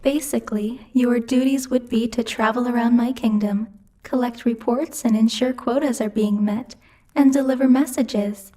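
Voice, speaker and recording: Woman playing a bossy, mellow-voiced demon girl. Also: fairly high pitch, steady tone, moderate pace, lightly acted. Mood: persuasive, earnest. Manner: haughty, playfully menacing, conversational. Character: bossy, teasing female demon ruler of hell. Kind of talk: ASMR roleplay, intimate scripted monologue to the listener.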